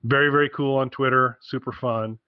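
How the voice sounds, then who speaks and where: A man's voice: medium pitch, fairly even intonation, brisk, no echo, dull audio. A man co-hosting a casual podcast, talking in an enthusiastic, rambling way and repeating himself, recorded over an internet call. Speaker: podcast co-host.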